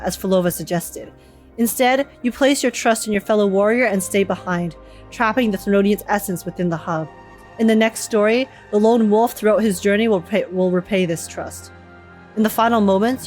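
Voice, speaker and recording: A young woman streaming a game with casual, excitable, slangy live commentary. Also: medium pitch. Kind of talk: casual live reaction commentary over gameplay. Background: faint game music.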